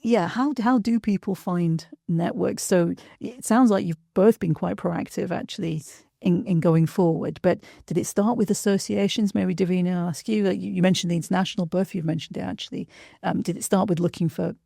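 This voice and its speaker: Gravelly-voiced woman podcast host. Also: lowish voice, fairly quick, dark tone, no room sound, studio quality. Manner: encouraging, frequent backchannel. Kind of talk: interviewing guests.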